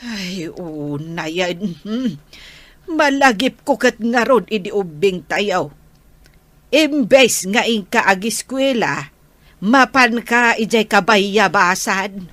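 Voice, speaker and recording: A woman's voice: medium pitch, lively intonation, slow, fairly bright tone, no echo. A woman playing a scolding, suspicious elderly mother and wife in a radio drama.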